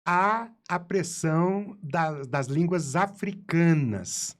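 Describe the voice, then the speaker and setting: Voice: medium pitch, animated, takes it slowly. A podcast host asking a guest questions, thinking aloud with hesitant fillers. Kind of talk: interview questions.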